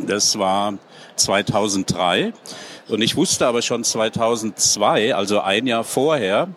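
A middle-aged German man with a lowish voice talks as a panel guest, measured and thoughtful, pausing with small hesitations. A faint hum of ambience sits behind him. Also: a bit bright. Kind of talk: panel talk at a trade fair.

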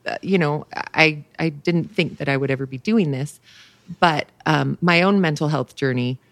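A woman in her thirties chats as a podcast guest, telling animated stories. Her voice is low for a woman. The recording is close and dry. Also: moderate pace, no echo. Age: 30s. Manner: animated storyteller, jumps into a high voice for quoted thoughts. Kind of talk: casual podcast chat.

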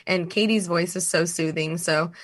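A woman with a raspy voice and a fairly low pitch talks in a casual podcast chat. She sounds enthusiastic and chatty and often laughs between thoughts. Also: steady tone, normal speed. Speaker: podcast guest.

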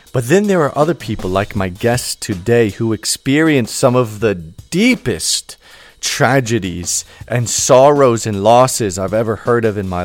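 A man hosting a podcast interview, speaking reflectively and prompting his guest with soft interjections. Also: mid-range voice. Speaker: podcast host.

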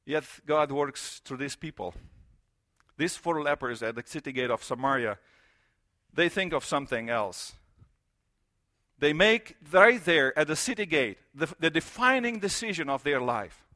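A man's voice: a little high, animated, a little slow, bright, forceful tone. A middle-aged man from Romania, preaching a sermon in English. He speaks emphatically, building through repeated phrases and rhetorical questions.

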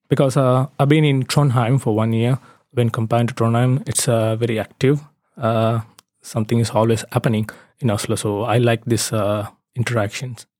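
A man's voice: mid-range voice, darkish tone, dry recording, clean studio sound. A young man from Sri Lanka, a biotechnology master's student, introducing himself casually with many hesitant fillers. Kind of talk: casual self-introduction for a lab video.

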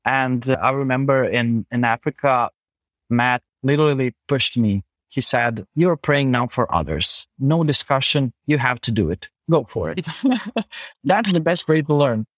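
A man with a Croatian accent speaks English as a second language, sincere and searching for words, often repeating himself before going on. His voice sits at a middle pitch. The sound is dry and close, with no room around it. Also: lively intonation, a bit bright, dry recording. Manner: earnest, halting, with many restarts. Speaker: guest. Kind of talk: podcast conversation.

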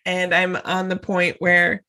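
A woman's voice: lowish voice, completely flat delivery, slow pace, pushed and bright. A young adult woman co-hosting a podcast, nasal and congested from a cold, bubbly and laughing often. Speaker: podcast co-host. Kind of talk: casual chat.

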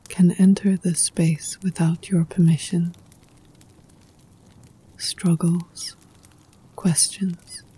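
A woman leading a guided meditation in a soft, breathy voice that often sinks near a whisper, hushed, soothing and unhurried, with poetic scripted phrasing. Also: lowish voice. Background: music.

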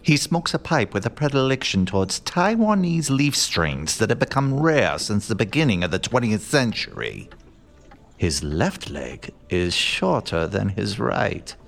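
An actor playing an aloof, self-assured master detective in a comic murder-mystery audio drama, with a haughty, theatrical, deliberate delivery and hushed musing. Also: male voice, lowish voice, gravelly, slight background noise. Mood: pondering, dramatic.

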